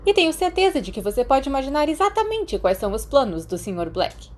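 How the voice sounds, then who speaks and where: A woman's voice: high-pitched, big pitch swings, fairly quick, voice pushed up. A Brazilian woman voicing a stern, weary older female schoolteacher in an audiobook, formal and pointed. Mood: stern, disappointed. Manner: formal, exasperated, pointed questioning.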